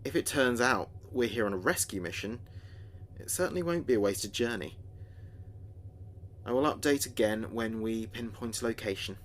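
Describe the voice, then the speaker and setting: A man playing an earnest space communications officer, recording logs in a measured, thoughtful way for a sci-fi audio drama. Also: on the low side, lively intonation, a bit bright, slight background noise. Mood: intrigued. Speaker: communications officer keeping a mission log. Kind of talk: audio drama log narration.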